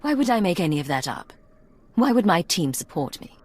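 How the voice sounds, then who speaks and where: An actress playing a hardened, wary Imperial agent in a video game, controlled, direct and clipped. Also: female voice, fairly low pitch, sing-song, fairly quick, a little mellow. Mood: bitter, confrontational. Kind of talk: dramatic game dialogue.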